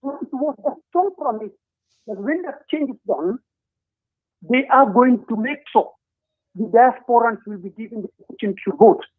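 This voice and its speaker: A man with a strikingly high voice, calling in over a thin, tinny phone line. He talks earnestly and deliberately, stopping often to hesitate as he lays out his points in a political discussion. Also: slightly rough. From Gambia.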